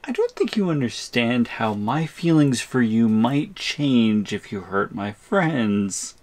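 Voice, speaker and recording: A man playing an exasperated but protective captive big brother in an audio roleplay, reasoning and pleading in measured tones. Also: mid-range voice, sing-song, darkish tone. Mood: placating.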